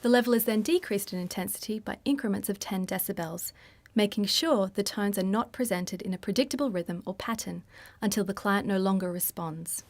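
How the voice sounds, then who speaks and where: A woman reading scripted instructional narration in a formal, measured, explanatory way. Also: mid-range voice, a little hiss or hum.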